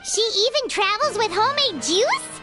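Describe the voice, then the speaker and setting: A woman voicing a tiny, perky, childlike fairy companion in a video game, squeaky and encouraging. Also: lively intonation, moderate pace. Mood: curious, impressed. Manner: perky, speaks of herself in third person. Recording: music behind the voice. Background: music.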